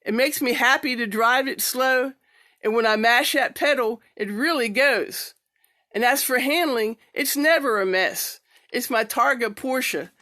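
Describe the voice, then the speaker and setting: A woman's voice: a little high, bright, forceful tone, no echo, studio quality. A woman giving an informal amateur poetry recital, reading and introducing pieces in her own way. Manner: halting, repeats words and syllables when speaking off the cuff. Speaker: amateur poetry reader and singer.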